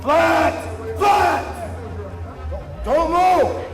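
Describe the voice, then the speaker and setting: Man, drill instructor, extremely high pitch, aggressive repeated commands, echoey, loud crowd din. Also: very slow, vocal fry. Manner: aggressive, confrontational, repetitive. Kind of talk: barking orders at cadets. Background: loud music and crowd.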